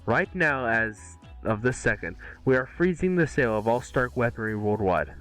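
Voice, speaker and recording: A man's voice: medium pitch, animated, normal speed, fairly bright tone, soft background music. A man reading dramatic movie dialogue casually at an amateur table read, with occasional stumbles.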